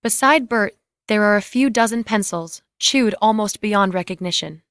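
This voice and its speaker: Female narrator for a dramatic script reading, delivering scene directions, medium pitch, dry studio-like sound. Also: normal speed, a bit bright, clean tone, dry recording.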